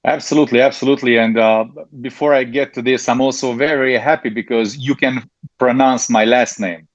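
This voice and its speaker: Brief: Hungarian-accented man, guest in an interview, halting, full of ums, dry lo-fi audio. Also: mid-range voice, fairly even intonation, no echo. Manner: hesitant, frequent ums and restarts. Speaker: network marketing leader, guest. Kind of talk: interview answers.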